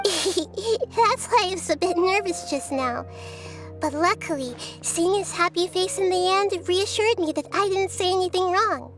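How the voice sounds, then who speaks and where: Childlike girl deity, very high pitch, gentle, thoughtful, earnest, wise, anime game, music behind. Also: unhurried. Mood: musing. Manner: soft-spoken, thoughtful, earnest.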